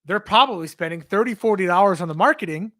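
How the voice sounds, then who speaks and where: A man hosting a casual livestream Q&A, rambling as he answers viewer questions, his voice strained and hoarse from losing it. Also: high-pitched, lively intonation, fast, sharp tone. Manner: rambling, conversational, reads out and answers chat questions.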